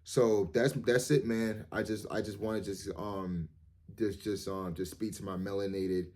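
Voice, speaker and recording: A man in his thirties with a low, deep voice talks straight to his viewers. He rambles and restarts, repeats words, and fills his pauses with "um" and "you know". His tone stays earnest and motivational. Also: steady tone, a little mellow. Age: 30s. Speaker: vlogger. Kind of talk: casual monologue to camera.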